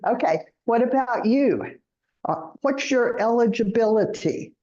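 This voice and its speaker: A woman teaching a citizenship class, speaking slowly and clearly and drilling words for students to repeat. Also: fairly high pitch, lively intonation, dark tone. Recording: roomy, muffled classroom audio.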